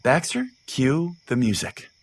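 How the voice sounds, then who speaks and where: A man's voice: medium pitch, very animated, unhurried, soft background music. Comedy radio pastor host, male actor: crude, earnest, folksy, swinging intonation, mid-range voice. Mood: irreverent, folksy. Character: small-town radio pastor host, crude, bigoted, oblivious. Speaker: radio call-in show host. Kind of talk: comedic mock-radio sermon and host banter.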